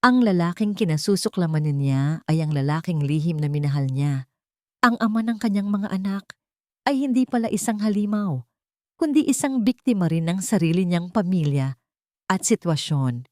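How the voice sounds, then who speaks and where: A woman narrating a dramatic romance story in a steady, dramatic scripted style. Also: on the low side, moderate pace, no echo, studio quality.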